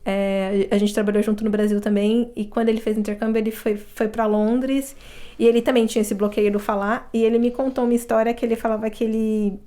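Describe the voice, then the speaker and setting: A woman's voice: on the high side, little pitch movement, fairly quick, warm tone. A Brazilian woman with a Brazilian Portuguese accent, a guest telling her stories in a casual interview, reflective, often restarting her sentences. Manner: reflective storytelling with frequent restarts.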